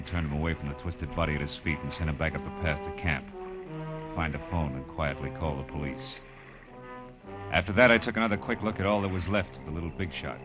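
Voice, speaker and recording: An actor playing a tough, wry private detective in a 1940s radio drama, clipped and sardonic, slinging slang as he talks and narrates. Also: a bass voice. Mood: grim, narrating. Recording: vintage radio broadcast with faint music.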